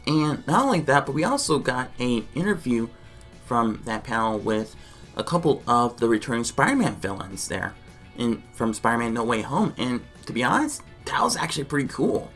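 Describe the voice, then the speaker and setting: An excitable man hosts a reaction vlog over background music. His pitch moves around in a lively way, and he tends to exclaim and repeat his words. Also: moderate pace. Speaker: YouTube reaction host. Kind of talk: enthusiastic reaction and discussion vlog.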